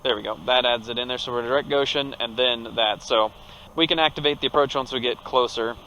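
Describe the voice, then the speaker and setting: A man's voice: medium pitch, fairly even intonation, fairly quick, pushed and bright, a little hiss or hum, rough recording. A man who is a pilot, casually talking through technical steps in a cockpit as he flies. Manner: technical, step-by-step. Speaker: pilot and flight vlogger. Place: cockpit of a turboprop plane in flight.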